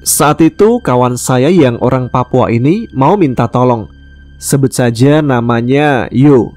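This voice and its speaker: A man from West Kalimantan, Indonesia, telling a true-life ghost story in a calm, measured way, voicing the dialogue plainly. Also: medium pitch, faint music behind. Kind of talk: first-person ghost story narration.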